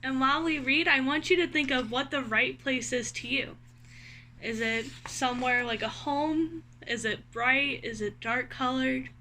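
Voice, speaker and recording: A woman with a high, bright voice reads a children's story aloud. She is expressive and speaks directly to her young listeners, guiding them through the tale. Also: unhurried, a little hiss or hum. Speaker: read-aloud host.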